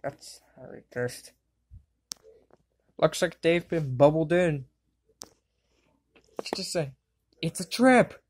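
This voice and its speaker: Brief: woman voicing a young cartoon boy wizard, low-set voice, wildly swooping exclamations, slow wisecracking delivery. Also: animated, a little mellow, slightly rough, exaggerated voice. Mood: excitable. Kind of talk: fan dub voice clips.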